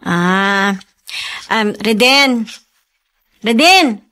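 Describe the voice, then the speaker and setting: An actress playing a caring, anxious young wife in a radio drama, coaxing and fussing with concern. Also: female voice, medium pitch, animated, extremely slow, pushed and bright, exaggerated voice. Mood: fussing, scolding.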